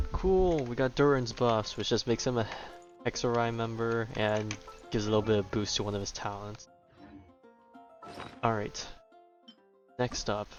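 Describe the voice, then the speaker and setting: A man's voice: medium pitch, muffled recording. A man giving casual, slangy, offhand commentary as he streams a video game. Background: game music.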